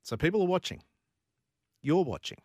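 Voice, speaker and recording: A man's voice: high voice, big pitch swings, normal speed, no room sound, studio quality. A man from Brisbane with an Australian accent, hosting a talkback sports radio show and firing rhetorical questions at listeners.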